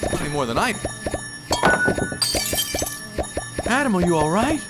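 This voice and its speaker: A man's voice: sits high, very animated, racing. A man playing an earnest, wisecracking young bee hero in a cartoon video game. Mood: determined. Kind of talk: animated video game cutscene acting. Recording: loud music with jingling behind.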